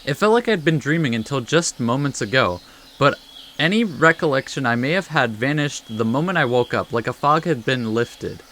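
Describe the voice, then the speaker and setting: A let's play host commenting casually on a game and reading its text aloud, a little haltingly, with soft interjections. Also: mid-range voice, lively intonation, slight background noise. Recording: game music behind the voice.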